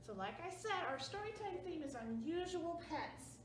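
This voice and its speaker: A woman as children's librarian, leading a storytime with a slow, singsong, coaxing manner. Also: very high voice, animated. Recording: roomy, distant mic, faint background hum.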